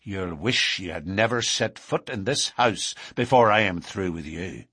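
A man's voice: low-pitched, animated, unhurried, sharp tone, breathy edge, no echo, clean studio sound, full character voice. A man voicing a domineering, savage young rival in a storybook, curt and menacing. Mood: cold menace.

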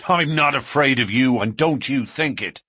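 A man reading an audiobook, voicing a surly, defiant young man who shouts back in anger. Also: mid-range voice, moderate pace, husky, dry recording, pitched above the performer's own voice. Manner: loud and fierce, shouting.

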